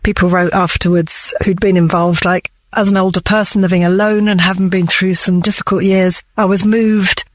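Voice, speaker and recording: A woman's voice: medium pitch, little pitch movement, normal speed, few pauses. A woman who works as a director, answering questions as a guest in a conversational interview.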